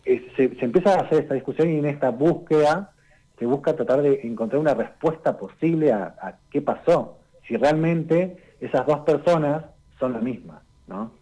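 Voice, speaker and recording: A man, giving a conversational radio literature column and explaining things unhurriedly, often pausing on "eh" and tagging his sentences with "¿no?". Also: on the high side, lively intonation, dark tone, rough recording. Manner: unhurried and explanatory, tags lines with "¿no?" and "eh".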